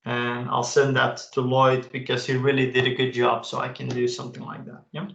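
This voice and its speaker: Gravelly man demoing software. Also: mid-range voice, monotone, a little fast, highs cut off. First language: Dutch. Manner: casual, enthusiastic, frequent "uh" fillers. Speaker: webinar presenter. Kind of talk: live software demo walkthrough. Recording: roomy echo.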